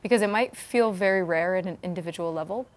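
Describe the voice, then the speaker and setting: Slightly low-voiced woman explaining informally. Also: fast talker, a little hiss or hum. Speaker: startup founder being interviewed. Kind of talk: conversational interview answers.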